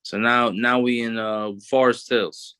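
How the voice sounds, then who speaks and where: A man's voice: medium pitch, measured pace, smooth, dry recording, studio quality. A man in his thirties with a New York accent, talking casually as an interview guest with lots of fillers and restarts. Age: 30s. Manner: relaxed street talk with many fillers and restarts.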